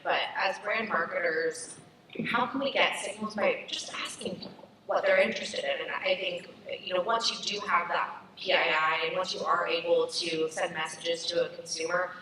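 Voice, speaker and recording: A woman speaking fluently as a marketing panelist, often saying "you know". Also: on the low side. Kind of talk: panel discussion. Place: stage at a summit. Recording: echoey hall, distant mic, noisy.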